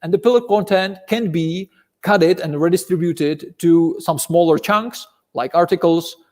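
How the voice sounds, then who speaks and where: A man's voice: high voice, moderate pace, darkish tone. Czech-accented man, reverberant phone audio. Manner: hesitant, repeats words. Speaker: co-host. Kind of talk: casual live chat.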